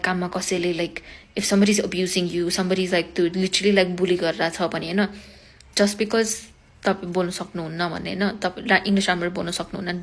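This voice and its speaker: A woman's voice: fairly low pitch, husky. A young woman from Nepal with a Nepali accent, her first language Nepali, talking earnestly in a casual vlog and mixing English with Nepali. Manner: rapid, earnest, frequent "like" fillers.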